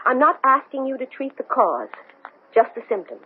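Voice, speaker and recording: An actress playing a desperate, intense woman astronaut in a 1970s radio drama, speaking urgently with a husky voice. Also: sits high, very animated, moderate pace, phone-quality sound, exaggerated voice. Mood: pleading, urgent. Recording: thin, band-limited 1970s radio broadcast.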